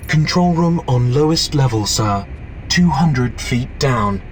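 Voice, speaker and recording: A man's voice: mid-range voice, lively intonation, takes it slowly. A man voicing a formal, unflappable AI assistant in a video game, reporting in clipped, deferential phrases. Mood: calm, dutiful. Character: formal, unflappable artificial intelligence butler assistant. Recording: loud game music and engine noise.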